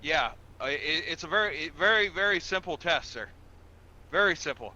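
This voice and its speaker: A man's voice: fairly high pitch, lively intonation, moderate pace, pushed and bright, dull audio. A man playing a patient, procedural police officer in an online game roleplay, explaining things step by step in a formal, instructional way. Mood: firm, exasperated. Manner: methodical, instructional, repeats himself, formal with sir.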